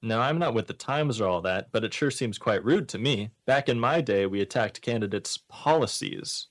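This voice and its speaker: A man's voice: on the low side, lively intonation, moderate pace. A man hosting a comedy radio show, reading out one-liner jokes and bantering casually.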